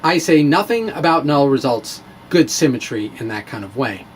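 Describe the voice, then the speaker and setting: A man giving a theatrical, emphatic dramatic reading of a science blog, with deliberate pauses. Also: mid-range voice, expressive, slight background noise. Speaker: science blogger and presenter.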